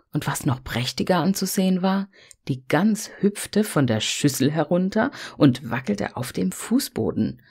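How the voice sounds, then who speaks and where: Female storyteller, low and husky, gentle expressive fairy-tale reading.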